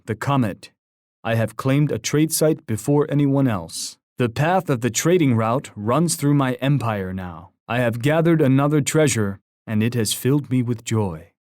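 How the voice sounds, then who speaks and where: An actor playing a proud, stern war leader in a video game, speaking in a solemn, formal, boastful way. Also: male voice, medium pitch, darkish tone, dry recording, clean studio sound, lightly acted. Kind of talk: video game character taunt lines.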